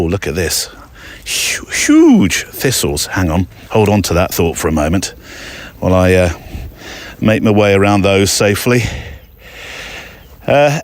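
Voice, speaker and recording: A man's voice: on the low side, no room sound. A man hosting a podcast outdoors, talking casually to listeners in a chatty, rambling way with hesitant asides. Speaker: podcast host. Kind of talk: casual walking monologue to listeners. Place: outdoors, walking in an overgrown park.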